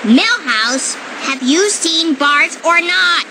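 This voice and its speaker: High, earnest little cartoon girl. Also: expressive, slow pace, noisy background, exaggerated voice. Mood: urgent. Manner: earnest, precocious. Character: earnest, brainy little girl searching for her brother. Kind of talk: animated game cutscene dialogue. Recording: loud music behind the voice.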